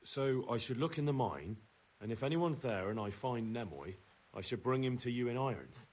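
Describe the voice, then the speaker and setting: A man's voice: medium pitch, lively intonation, moderate pace, darkish tone, close to an everyday voice. An actor playing a dutiful, inquisitive young medieval man-at-arms in a video game, plain-spoken and deferential. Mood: earnest, curious. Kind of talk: scripted video game dialogue. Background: music.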